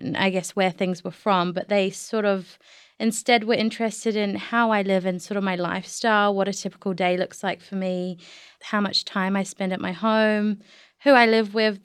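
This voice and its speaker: A woman speaks as a journalist, in a clear, smooth voice at a medium pitch. The sound is very dry and close. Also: no echo. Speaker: journalist, interview guest. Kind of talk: conversational interview and reading aloud of an article.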